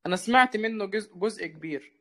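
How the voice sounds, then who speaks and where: Very fast-talking young Egyptian man. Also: high-pitched, fairly bright tone. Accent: Egyptian Arabic. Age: young adult. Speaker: caller, junior doctor asking questions. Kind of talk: casual questions on a voice chat. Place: online voice call.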